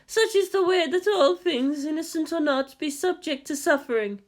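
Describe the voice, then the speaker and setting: Storybook role: anxious, guilt-laden nun, very high and mournful, halting and formal , performed by a man. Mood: mournful, contrite. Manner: slow, formal, apologetic, stammering. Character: timid, mournful, guilt-ridden Buddhist nun.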